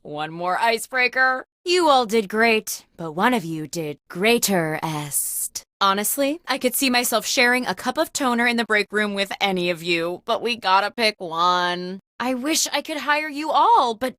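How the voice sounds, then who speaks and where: A woman's voice: a little high, animated, unhurried, few pauses, a bit bright, no room sound, studio quality. A woman playing a chipper, insecure, scatterbrained office boss as the host of a video game, with an over-eager, theatrical delivery. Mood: breezy, self-satisfied.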